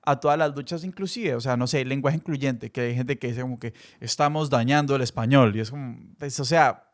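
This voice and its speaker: A man hosting a podcast, asking loosely phrased questions in a relaxed way. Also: medium pitch, a little fast. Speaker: podcast host. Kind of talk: casual conversation.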